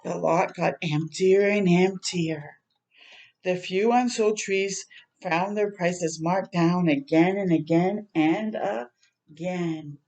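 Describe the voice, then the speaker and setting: A woman reading a picture book aloud to young children in a gentle, expressive storytime manner. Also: lowish voice, slow. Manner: gentle, expressive, prompting listeners with questions.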